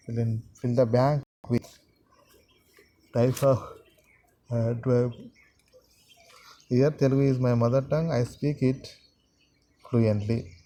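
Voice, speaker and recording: A man teaching a grammar lesson to students, repeating sentences and pausing often with fillers. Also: mid-range voice, warm tone, slight background noise, low-quality recording. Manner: slow, repetitive, halting with many fillers.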